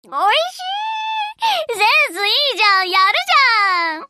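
A woman voicing an excitable, easily flustered young anime shipgirl in expressive game voice lines. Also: extremely high pitch, brisk, a little hiss or hum, exaggerated voice. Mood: flustered delight.

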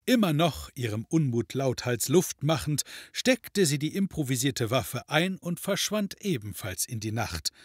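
A man's voice: a little high, no room sound, clean studio sound. A man narrating a scripted comedy audio drama in a wry storytelling manner.

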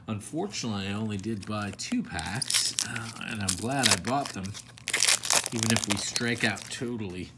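A man's voice: on the low side, lively intonation, normal speed, heavy background noise. A man hosting a pack-opening video, talking casually with self-deprecating, rambling asides as he handles cards at a table. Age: older adult. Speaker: card collector channel host. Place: indoors at a table.

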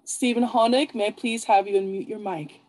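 A woman's voice: mid-range voice, steady tone, normal speed, a little mellow, low-quality recording. A woman hosting an open mic over a video call, politely thanking and introducing readers.

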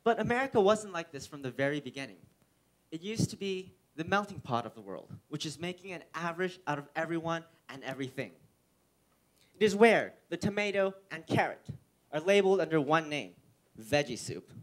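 Teenage Korean boy giving a stage talk. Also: fairly high pitch. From South Korea. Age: teens. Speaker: student speaker. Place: auditorium stage with audience. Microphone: headset mic.

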